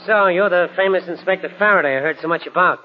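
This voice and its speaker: Actor as smug gangster, muffled vintage radio. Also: male voice, high-pitched, quick pace, loud music behind the voice, natural voice. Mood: falsely friendly. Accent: American. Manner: slangy, smug, tough-guy talk. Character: cocky, double-crossing male gangster, tough and sly. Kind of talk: 1940s radio crime drama acting. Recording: muffled old radio recording.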